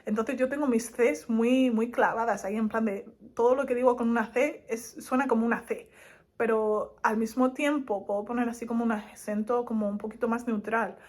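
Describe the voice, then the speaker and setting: A young woman with a Madrid Spanish accent talks casually to her camera, animated and laughing between thoughts. Her voice sits a touch high, and her delivery stays loose and chatty. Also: moderate pace. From Spain. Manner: animated and laughing, lively asides. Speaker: vlogger.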